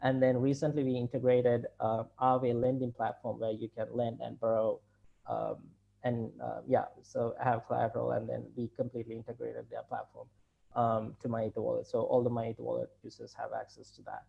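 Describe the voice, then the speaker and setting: A Sri Lankan man in his thirties, speaking at a middle pitch with a gravelly edge. He talks loosely, full of likes and uhs, restarting his thoughts as he explains. The sound is dull and lo-fi, like a laptop video call. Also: level tone, brisk. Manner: rambling, many fillers and restarts. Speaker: company CEO guest. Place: home room indoors.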